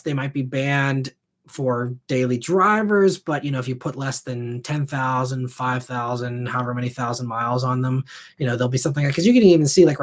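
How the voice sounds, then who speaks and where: A man's voice: medium pitch, darkish tone. A man in his thirties hosting a live stream, answering viewer questions in a rambling, casual way full of fillers. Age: 30s. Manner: rambling, heavy on fillers like "you know" and "I mean". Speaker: host.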